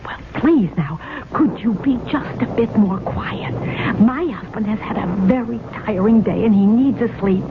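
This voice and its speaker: A woman's voice: mid-range voice, expressive, normal speed, close to an everyday voice. A narrow, noisy old radio sound carries an actress as a practical, unflappable wife. The woman speaks in a courteous, fussy, matter-of-fact way, played as radio drama. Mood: polite, exasperated. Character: unflappable, practical wife and mother scolding a ghost politely. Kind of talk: 1970s radio drama acting. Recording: noisy, tinny 1970s radio recording.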